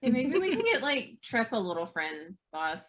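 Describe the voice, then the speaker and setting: High animated woman over noisy mic. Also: moderate pace, a crowd in the background. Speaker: tabletop game player. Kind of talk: casual improvised table banter. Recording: noisy microphone with clicks.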